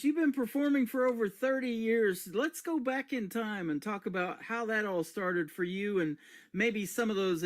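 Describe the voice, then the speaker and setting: A man hosting a country radio show, asking chatty, friendly questions. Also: high-pitched. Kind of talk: casual interview.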